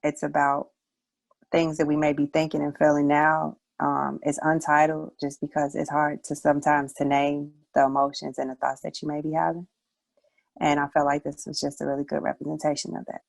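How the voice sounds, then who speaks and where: A woman's voice: low-pitched, flat delivery, moderate pace, creaky, no room sound, low-quality recording. A woman reciting her own poetry as a spoken word piece. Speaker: poet, showcase participant.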